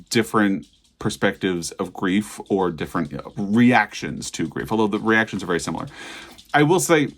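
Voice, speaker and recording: An American man with a fairly low voice talks casually as a book reviewer on his own vlog. He wanders conversationally from point to point, thinking aloud as he goes. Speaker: book reviewer and channel host.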